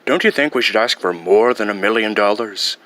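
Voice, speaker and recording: A man plays an evil organization's number two from a spy comedy. The voice drops low and stays measured, businesslike and matter-of-fact, in a dry close recording. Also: a bit bright, dry recording, lightly acted. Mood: dry, corrective. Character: smooth, matter-of-fact male villain's second-in-command. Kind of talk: comic film impression.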